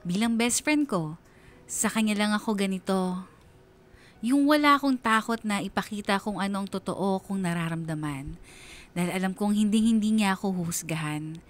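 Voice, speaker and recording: A woman's voice: mid-range voice, normal speed, close to an everyday voice. A woman playing a young Filipino letter writer, narrating earnestly and reflectively in a sentimental radio drama. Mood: wistful, reflective. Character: young woman confiding her troubles by letter.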